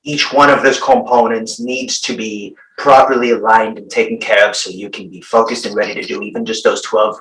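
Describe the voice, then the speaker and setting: A man's voice: fairly low pitch, little pitch movement, brisk, hardly any pauses, fairly bright tone. A male podcast host who asks questions and encourages his guest, restating the guest's points. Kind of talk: casual interview. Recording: roomy, distant from the mic.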